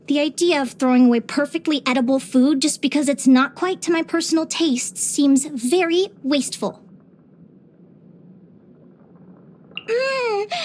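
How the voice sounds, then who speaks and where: Game casting: young female naturalist-explorer, very high voice, cheerful, earnest, full of wonder. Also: slight background noise. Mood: bright, sweet. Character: cheerful, curious young woman adventurer and naturalist. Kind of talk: video game character voice lines.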